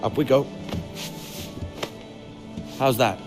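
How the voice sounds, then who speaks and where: A man's voice: mid-range voice, steady tone, extremely slow. An actor playing a father with dry humor and affection, in a video game cutscene. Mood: wry. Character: proud, playful father at a posh event. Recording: clear music behind.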